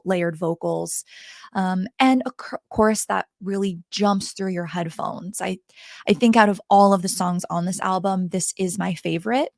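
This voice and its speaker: Hoarse woman reviewing an album. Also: mid-range voice, dry recording, studio quality. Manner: chatty, talks straight to viewers. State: hoarse, raspy voice from the cold. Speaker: music reviewer. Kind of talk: casual album review vlog. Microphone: handheld microphone.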